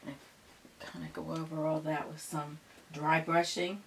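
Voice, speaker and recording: A woman with a low, gravelly voice, losing it and clearing her throat often. She talks slowly through a painting lesson in a relaxed, murmuring way. A faint hiss sits behind her. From Pittsburgh. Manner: relaxed, murmuring step-by-step commentary. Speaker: painting instructor.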